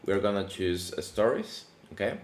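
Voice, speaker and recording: A man hosting a casual coding tutorial, his voice deepened by allergies, talking in a relaxed, chatty way with many fillers and restarts. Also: normal speed. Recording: echoey room with faint background noise.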